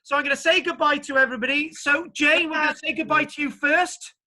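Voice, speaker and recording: Bright-sounding guy teaching an archaeology class over a video call, rambly and jokey. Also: extremely high pitch, steady tone, fast talker. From Britain. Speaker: archaeology lecturer. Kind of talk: online class lecture and chat.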